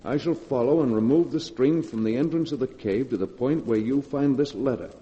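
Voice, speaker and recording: A man's voice: mid-range voice, brisk, mellow, close to an everyday voice. A man acting a tense, guilty lover trapped in a cave, with urgent theatrical delivery in a 1940s radio horror drama. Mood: grave reading. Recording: muffled vintage radio, long hall reverb.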